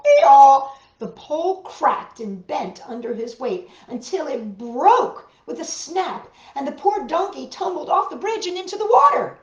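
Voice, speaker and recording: Children's storytime host, woman: expressive, simple, unhurried, slightly dull roomy recording. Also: on the high side. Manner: simple explaining, addressing young listeners.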